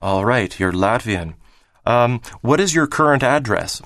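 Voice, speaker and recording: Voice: mid-range voice, lively intonation, unhurried, fairly bright tone, dry recording. Actor playing office clerk, courteous, methodical, helpful, scripted language-exam dialogue, dry sound. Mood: businesslike. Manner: courteous, methodical, repeats answers back.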